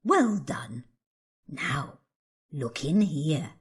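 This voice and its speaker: Woman as a slow, husky, kindly old woman. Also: low-pitched, big pitch swings, full character voice. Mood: approving, instructive. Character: small, kindly old woman with magical powers. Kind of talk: children's fairy tale dramatisation.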